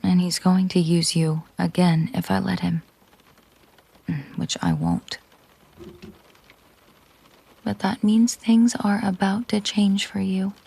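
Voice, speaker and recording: A woman playing a possessive, menacing mafia boss girlfriend in an intimate audio roleplay, speaking in a controlled, hushed, deliberate way with a breathy, husky voice. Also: medium pitch, level tone, heavily acted. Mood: protective, commanding. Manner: slow, deliberate, hushed, often breathy near-whisper.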